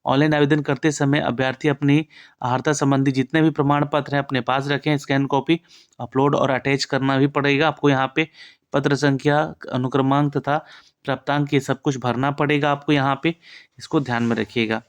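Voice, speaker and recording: A man's voice: mid-range voice, level tone, fairly quick, clear, resonant tone. A man giving an informal, instructive walk-through of an official notice, often reminding listeners what to note. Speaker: presenter of a job exam information channel.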